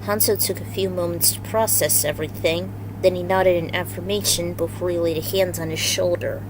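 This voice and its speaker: A woman's voice: fairly low pitch, fairly even intonation, brisk, noisy background. A woman reading a dramatic fantasy story aloud as its narrator, in an amateur recording. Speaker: fan fiction reader. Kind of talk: story narration with character voices.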